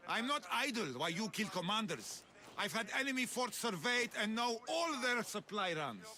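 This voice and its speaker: Imperious Spartan general, bright forceful voice. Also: male voice, high voice, big pitch swings, moderate pace, full character voice. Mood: proud, commanding. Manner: imperious, boastful, curt. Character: ruthless, proud, ambitious male Spartan general. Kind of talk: scripted video game acting.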